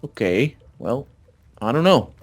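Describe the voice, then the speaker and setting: Guy on a co-op lets play, casual, voice moves up and down a lot, mid pitch. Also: big pitch swings, takes it slowly, faint music behind. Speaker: gamer, co-op let's play commentator. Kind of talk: casual gameplay chat, reads game text aloud.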